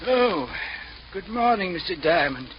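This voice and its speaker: An actor playing a fussy, deferential butler with prim, formal manners in 1940s radio comedy style. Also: male voice, high-pitched, sing-song, unhurried. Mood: fussy, polite. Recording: dull old radio, clear noise.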